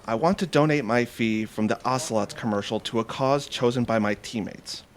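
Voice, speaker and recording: An actor in an audio comedy playing a guarded, stubborn young pro athlete who talks in a blunt, wary way. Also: male voice, medium pitch, moderate pace, a little hiss or hum, natural voice. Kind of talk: scripted audio theatre comedy acting.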